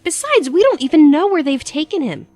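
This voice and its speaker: A woman's voice: high-pitched, big pitch swings, brisk, a little mellow, faint music behind. A woman playing a practical young adventurer in an audio drama, reasoning in a matter-of-fact way. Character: level-headed, practical young female adventurer.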